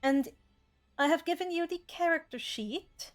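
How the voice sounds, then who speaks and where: A woman's voice: extremely high pitch, unhurried, soft background music. A woman acting as game master, narrating and guiding an online tabletop roleplay.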